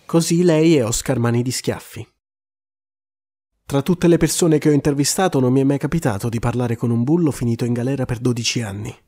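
An actor playing an earnest journalist in a scripted mock-documentary prison interview. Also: male voice, mid-range voice, fairly quick, mellow, lightly acted. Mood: serious. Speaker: actor in a comedy sketch.

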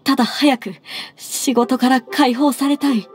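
An actress playing an exhausted, humble young secretary in an anime game. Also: female voice, high-pitched, steady tone, unhurried, breathy edge, dry recording, clean studio sound, faint music behind. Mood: exhausted, pleading.

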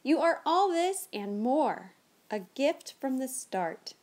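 A woman's voice: high-pitched, slow pace. A woman in her thirties hosting a children's read-aloud, speaking expressively to young kids as she presents and reads picture books. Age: 30s. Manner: animated, exaggerated expression for kids.

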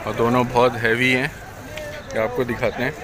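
A man vlogging at an outdoor cattle market, briskly asking sellers prices and repeating them back. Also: mid-range voice, flat delivery, over a noisy crowd. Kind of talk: casual on-the-spot questioning. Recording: crowded outdoor market noise.

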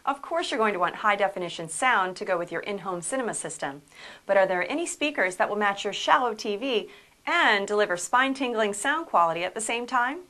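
A woman's voice: medium pitch, fairly bright tone. A woman reading scripted promotional narration for a product video in a polished, upbeat sales manner.

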